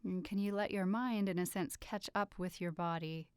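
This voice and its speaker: Low, smooth-voiced woman guiding meditation. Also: no echo. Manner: soothing, unhurried, gentle instructions with pauses. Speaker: meditation guide. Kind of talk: guided meditation, yoga nidra.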